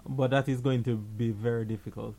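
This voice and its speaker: A man's voice: medium pitch, fairly even intonation, a little fast, warm tone. A man co-hosting a movie podcast, chatting casually, teasing his co-host and laughing a lot. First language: not Danish; speaks English. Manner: laughing, teasing, blunt opinions. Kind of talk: casual chat about movies.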